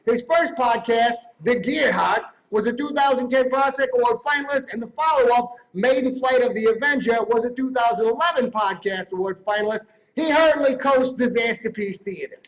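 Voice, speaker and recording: A man's voice: very high-pitched, normal speed, few pauses, poor audio. A man playing a breezy, wisecracking awards host in a bantering mock-showbiz manner. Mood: breezy announcing.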